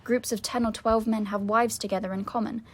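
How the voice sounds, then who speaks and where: A young woman, a student, giving a measured, formal dramatic reading of a historical text. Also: on the high side, steady tone. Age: young adult. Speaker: student reader.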